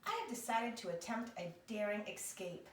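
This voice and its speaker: A self-pitying, indignant pet dog, played by a woman pleads and grumbles theatrically in an expressive storybook reading. The sound is echoey, picked up at some distance from the microphone. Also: mid-range voice, moderate pace, slight background noise, lightly acted. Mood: dramatic, forlorn. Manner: theatrical, wheedling complaint. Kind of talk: expressive picture-book read-aloud.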